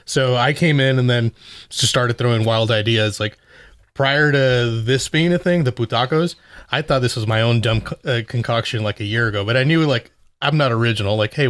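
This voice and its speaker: A man who tends bar, talking as a guest in casual chat, relaxed and rambling with lots of fillers. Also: mid-range voice, fairly quick, no room sound, studio quality. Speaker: bartender guest.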